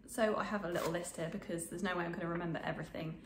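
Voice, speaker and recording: A woman's voice: lowish voice, steady tone, very fast, roomy sound, noisy background. A woman in her twenties with a bit of a cold, chatting casually about sewing to camera from her sewing room, rambling with lots of ums. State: snuffly from a cold. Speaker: sewing vlogger. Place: home sewing room, indoors.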